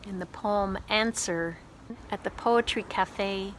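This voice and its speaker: A woman's voice: medium pitch, slow, slight background noise, poor audio. A woman talking casually and thoughtfully about books she loved, sharing recommendations at home. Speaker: book reviewer. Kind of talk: casual book review vlog.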